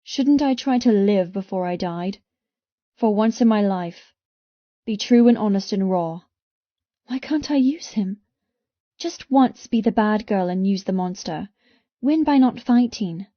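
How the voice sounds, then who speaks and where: A woman's voice: medium pitch, animated, a little slow, no echo. Woman doing dramatic audiobook romance narration, midrange voice, really dry sound, a little muffled.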